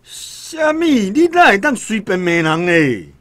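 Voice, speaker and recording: A man's voice: high voice, very animated, a little slow, a bit bright, dry recording, full character voice. A man with a Taiwanese accent playing a poor, honest, aggrieved vegetable seller in his thirties in a radio drama. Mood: defiant. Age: 30s. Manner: plaintive, protesting.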